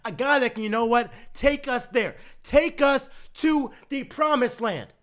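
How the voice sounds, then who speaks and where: A man with a very high voice vents his frustration at his sports team, going back over the same complaints again and again. His voice sounds worn and strained from all the cheering, and he talks in heated, exasperated bursts. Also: a little slow, a bit bright. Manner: heated, repetitive, exasperated ranting. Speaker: sports fan. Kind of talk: ranting commentary to camera.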